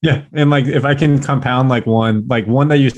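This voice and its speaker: A young man from California, a podcast guest, chatting upbeat and fast with lots of fillers. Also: medium pitch, steady tone. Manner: upbeat, talks fast in bursts, many "like" fillers. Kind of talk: casual interview chat.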